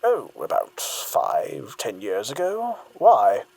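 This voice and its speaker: A woman's voice: very deep voice, wildly swooping pitch, takes it slowly, a little mellow, slightly rough, heavily acted. A woman playing an outwardly soothing, secretly sinister therapist in an audio drama, with a probing, falsely reassuring manner. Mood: breezy, prying.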